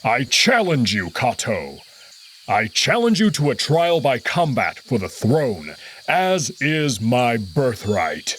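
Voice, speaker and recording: A man voicing a young male wolf prince who makes formal, defiant challenges in measured words. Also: mid-range voice, sing-song, a bit bright, slight background noise.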